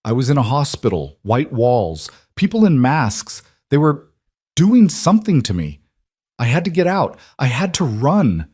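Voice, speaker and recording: A man's voice: mid-range voice, expressive, measured pace, long pauses, a little mellow, no echo, studio quality, voice pushed up, lightly acted. A man voicing a frightened young woman with amnesia, talking in panicky, breathless pleas. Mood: panicked.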